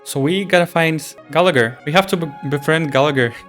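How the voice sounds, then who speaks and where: A streamer reacting to a game story, stammering and excitedly theorizing. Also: medium pitch, fairly quick, faint music behind. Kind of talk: casual reaction commentary.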